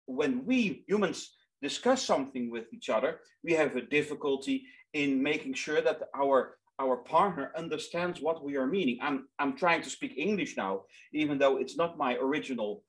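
A man's voice: medium pitch, lively intonation, normal speed, hardly any pauses, rough recording. A man in his thirties with a Dutch accent, giving an online lecture in English as a second language, earnest and often repeating words as he searches for them, recorded over a webcam in a small room. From Netherlands.

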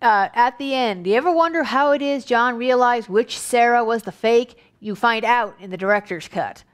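A middle-aged woman speaks in a fairly high voice as co-host of a relaxed review conversation. Her manner is wry, and she chimes in with asides and clarifications. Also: normal speed, clean tone. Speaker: film review show co-host. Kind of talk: casual review chat. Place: home kitchen, indoors.

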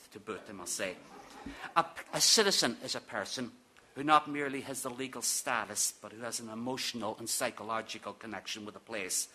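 Irish-born academic guy reading his talk at a podium, bright voice, dry little jokes. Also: medium pitch. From Ireland. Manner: dry, wry asides with small laughs. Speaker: academic speaker. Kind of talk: reading a prepared lecture. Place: conference hall with audience. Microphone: podium microphone.

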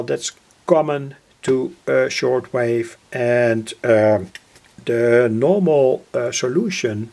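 An older man with a husky, gravelly voice, giving an unscripted workbench demonstration in halting, hesitant phrases. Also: mid-range voice, a little mellow. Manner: halting, hesitant phrasing with repeated filler words. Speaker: radio hobbyist presenter. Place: indoor home electronics workbench.

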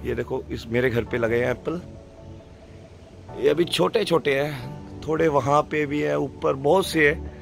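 Young male vlogger, informal and chatty, higher register, outdoor phone sound with music behind. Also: unhurried, creaky, pauses often. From Himachal Pradesh, India. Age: young adult. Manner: chatty, informal, addressing viewers. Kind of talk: casual outdoor vlog chat. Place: outdoors, rural farmhouse yard and fields. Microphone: phone at arm's length. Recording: phone video with music under voice.

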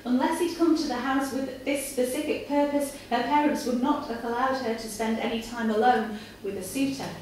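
A woman with a fairly high voice gives a measured reading of fiction. She is live in a café, recorded from a distance, so the room echoes heavily around her with some faint noise. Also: darkish tone. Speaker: reader at a live literary night. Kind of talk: dramatic reading of written fiction. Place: live in a café before an audience. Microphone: far camera.

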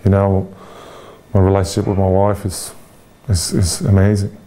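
Irish man, forties, candid interview, many fillers and restarts, mellow tone. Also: low-pitched, completely flat delivery, moderate pace. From Ireland. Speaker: interview guest.

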